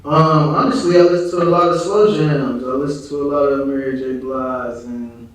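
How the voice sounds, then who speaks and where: A man's voice: a little high, moderate pace. A man from Chicago talking casually as an interview guest, with slangy, profane phrasing and frequent verbal tags. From Chicago, United States. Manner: slangy, profane, frequent "you know what I'm saying". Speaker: interview guest, rap artist. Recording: echoey room, distant from mic.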